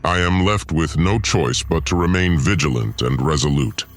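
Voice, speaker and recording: A man's voice: extremely low pitch, normal speed. A man playing a vengeful, disdainful god lord in an audio drama, delivering a formal, pompous and menacing villain monologue. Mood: resolute, scheming. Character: vengeful, disdainful male god lord plotting against humans.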